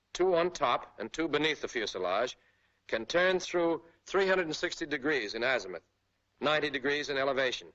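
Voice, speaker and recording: An American man narrating a 1940s military training film as an instructor, speaking with clear authority straight to the listener. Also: medium pitch, fairly bright tone. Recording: dull, band-limited 1940s film soundtrack.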